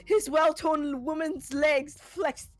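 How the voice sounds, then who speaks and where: A woman's voice: sits high, fairly even intonation, takes it slowly, faint music behind. A woman with a Singaporean accent doing a dramatic comic reading on a live stream, giggly, sweary and often breaking up mid-sentence. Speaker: reader on a live fan fiction stream. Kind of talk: dramatic comedic reading and casual banter.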